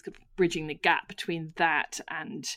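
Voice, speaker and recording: Woman interviewer, lower pitch, dry close recording, asks questions with um and uh. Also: fairly even intonation, moderate pace, fairly bright tone, no echo. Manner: hesitant questions with fillers.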